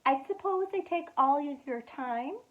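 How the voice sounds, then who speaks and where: A woman voicing an old, wise and kind grandfather rabbit in a storybook reading, measured and kindly. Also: high-pitched, expressive, pitched above the performer's own voice. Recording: tinny phone-like sound.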